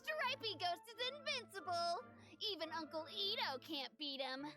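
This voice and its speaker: An eager little boy in a video game. The voice is very high and lively, childlike and earnestly boastful, with music clearly behind it. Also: moderate pace. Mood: triumphant. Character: eager, proud little boy who loves contests.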